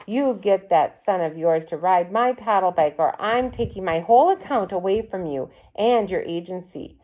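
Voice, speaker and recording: A woman's voice: medium pitch, moderate pace, dark tone, lightly acted. A woman voicing an impatient, bossy businessman in a storybook, blunt and demanding. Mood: angry impatient.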